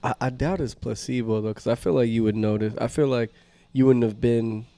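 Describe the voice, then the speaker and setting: A man's voice: mid-range voice, a little fast, dark tone. A young man co-hosting a podcast, asking questions in a laid-back, profane way. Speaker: podcast co-host. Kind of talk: casual chat.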